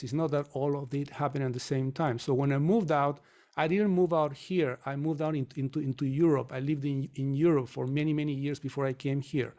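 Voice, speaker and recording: Man from chile, interview guest, reflective storytelling, slightly high pitch. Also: darkish tone. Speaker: guest, mortgage company founder. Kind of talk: podcast interview answers.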